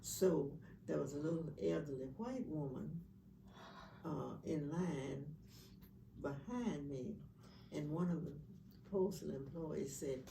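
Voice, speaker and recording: A woman in her nineties with a low, gravelly and mellow voice tells animated stories, recorded from some distance in an echoey room. She laughs easily at her own tales. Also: slow pace, slight background noise. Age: 90s. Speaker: family elder being interviewed. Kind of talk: casual storytelling.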